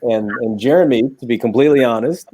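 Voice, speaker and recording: A man who works as an attorney chats enthusiastically as a radio guest and keeps slipping into stories. His voice sits at a middle pitch. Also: fast talker, dark tone, husky. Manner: enthusiastic, storytelling. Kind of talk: casual radio chat.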